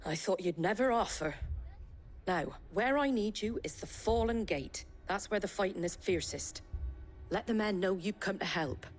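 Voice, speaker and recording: A woman's voice: medium pitch, lively intonation, normal speed, lightly acted. A woman playing a tough, plain-spoken innkeeper in a video game, wry, blunt and matter-of-fact. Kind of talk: dramatic cutscene acting. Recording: music behind the voice.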